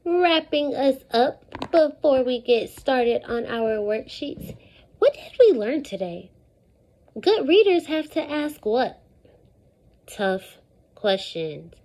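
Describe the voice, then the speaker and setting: A woman with a high, mellow voice leads a read-aloud lesson as an elementary teacher. She takes her time, stressing key words and repeating them for young pupils in an animated call-and-response manner. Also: rough recording. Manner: animated, repetitive call-and-response with deliberate emphasis and questions to pupils.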